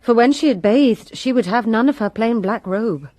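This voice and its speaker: A woman's voice: on the high side, moderate pace, darkish tone, no room sound. A woman playing a resentful lady's maid who gives her testimony with bitter emphasis in a radio drama.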